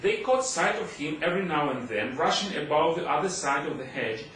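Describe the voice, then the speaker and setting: Very echoey, higher-pitched man reading a story. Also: big pitch swings, brisk, a bit bright. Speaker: audiobook reader. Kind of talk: scripted narration of a humorous classic story. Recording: very echoey room, distant mic, faint noise.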